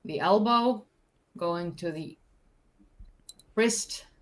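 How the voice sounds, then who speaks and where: A woman from North Holland, co-hosting a casual live history chat with quick, filler-heavy, self-correcting talk. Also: on the low side. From Netherlands, North Holland. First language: Dutch. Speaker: co-host, history presenter. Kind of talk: casual live-stream chat about archaeology.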